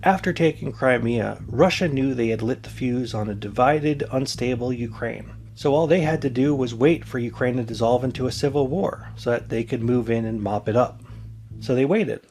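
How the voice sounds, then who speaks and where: A man's voice: mid-range voice, normal speed, a little mellow, soft background music. A man presenting an explainer in scripted narration.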